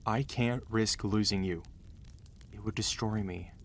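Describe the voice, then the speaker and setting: Man acting as low-voiced, protective mafia boss husband. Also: fairly even intonation, a little hiss or hum. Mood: stern, worried. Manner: earnest, commanding yet tender, measured. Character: protective, gentle mafia boss husband, ruthless outside, devoted. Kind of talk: intimate ASMR romance roleplay, speaking to the listener.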